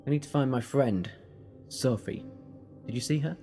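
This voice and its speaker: A man playing a quick-witted, sardonic time-travelling adventurer in an audio drama, speaking in a wry, emphatic way. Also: mid-range voice, dark tone, natural voice. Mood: urgent, accusing. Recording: reverberant, music underneath.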